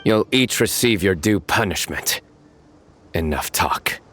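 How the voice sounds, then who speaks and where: A stern young judge speaking low and level in clipped, solemn lines. He delivers even his puns completely deadpan. Game soundtrack music plays clearly behind him. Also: slow pace, full character voice. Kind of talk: game cutscene voice acting.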